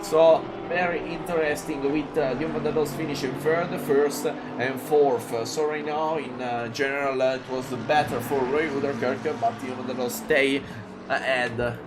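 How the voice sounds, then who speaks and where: A man from Italy with an Italian accent, calling a live sim race in halting English with many fillers and excited jumps. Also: mid-range voice, very noisy. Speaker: race commentator. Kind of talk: live sim racing commentary.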